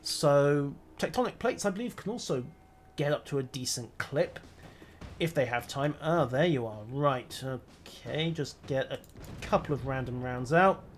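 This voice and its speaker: Brief: male streamer, mid-pitched, wry muttering play-by-play, faint hiss behind. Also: lively intonation, a little slow. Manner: dry, wry, muttering self-commentary. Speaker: game streamer. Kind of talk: live gameplay commentary.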